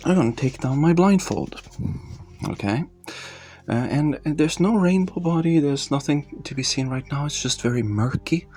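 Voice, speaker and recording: A man speaking English as a second language, calmly narrating his meditation in a slow, hesitant, soft-spoken way with many fillers, in a quiet room at night. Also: medium pitch, gravelly. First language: not English. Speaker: meditation vlogger. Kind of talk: calm narrated live meditation.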